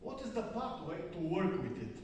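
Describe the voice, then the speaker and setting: Halting Bulgarian-accented man in a hall. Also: high voice, sing-song, darkish tone, a little hiss or hum. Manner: halting, many fillers and stutters. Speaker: psychotherapist speaker. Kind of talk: conference talk. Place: large conference hall. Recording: distant, echoey hall recording.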